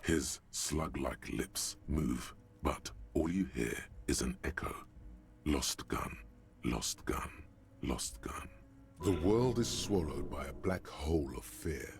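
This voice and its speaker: A man's voice: very deep voice, lively intonation, unhurried, hardly any pauses, darkish tone, heavily acted. A man voicing the grave, taunting inner voice of a detective's mind in a video game. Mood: ominous.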